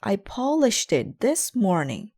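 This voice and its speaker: A woman voicing an earnest, bumbling, excitable male dinosaur detective from a storybook, with emphatic delivery. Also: medium pitch, slow, gravelly, voice pushed up, exaggerated voice. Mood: earnest, puzzled. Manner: emphatic, exclamatory.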